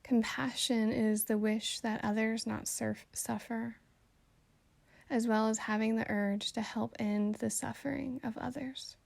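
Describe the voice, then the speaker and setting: A woman guiding a meditation in a calm, soothing, gently instructive way, with soft phrases that trail toward a whisper. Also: on the high side, measured pace, creaky, dry recording. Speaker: meditation guide. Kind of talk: slow guided meditation narration.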